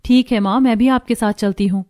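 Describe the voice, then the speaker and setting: A woman's voice: fairly high pitch, fairly even intonation, a little fast, warm tone, lightly acted. A woman playing a dutiful, caring young daughter from a poor family, speaking earnestly and obediently in a moral story. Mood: willing. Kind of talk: dramatized Hindi moral story acting.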